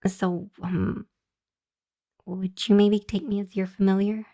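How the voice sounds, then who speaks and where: A woman voicing a shy, earnest young hellhound demon girl in an ASMR roleplay, speaking to the listener in a hesitant, flustered, halting way. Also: medium pitch, little pitch movement, vocal fry, warm tone. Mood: defensive, pleading. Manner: hesitant, flustered, halting with sighs and nervous chuckles.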